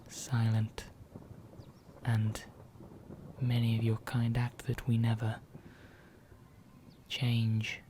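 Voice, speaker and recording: A man playing an ancient, condescending vampire in an ASMR roleplay, speaking softly and deliberately, often slipping into a whisper, with calm menace. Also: on the low side, slight background noise. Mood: smug, condescending. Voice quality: soft, breathy, often dropping into whisper. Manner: slow, deliberate, pausing, formal.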